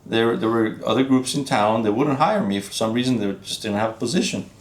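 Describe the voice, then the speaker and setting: A man in his fifties, originally from Iran, speaking as a physician guest in a relaxed interview, reflective and halting, with frequent restarts. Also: mid-range voice, reverberant. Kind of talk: casual interview conversation.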